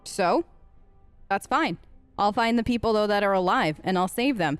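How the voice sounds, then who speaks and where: A woman's voice: medium pitch, normal speed, clear, resonant tone, no echo, soft background music. A woman doing casual, improvised role-play commentary as host of a game let's play, informal and outspoken.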